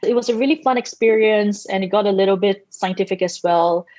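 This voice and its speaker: Woman guest founder from Singapore, measured delivery, dull lo-fi dry recording. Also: mid-range voice, darkish tone, dry recording. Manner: measured, with small restarts. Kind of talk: podcast interview. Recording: dry, muffled, lo-fi sound.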